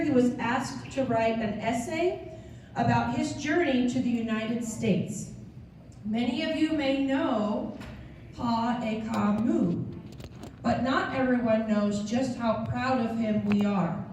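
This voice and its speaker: A woman's voice: fairly high pitch, slow, a little mellow, a little hiss or hum. A woman on staff leading a school assembly, upbeat and cheering the students on. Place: large school gym full of seated students. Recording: echoey gym from far off.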